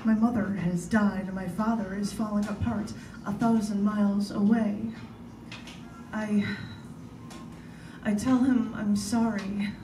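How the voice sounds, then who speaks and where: A woman giving a live reading of her own prose and poetry in a deliberate, measured way with dramatic pauses, recorded on a distant camera mic in a bookstore. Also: medium pitch, steady tone, reverberant, noisy background.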